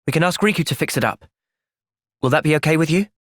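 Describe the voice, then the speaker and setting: A man with a British accent playing a thoughtful, gentle young soldier in video game cutscenes, measured and earnest. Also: a little high, expressive, no echo, clean studio sound, lightly acted. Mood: calm, earnest.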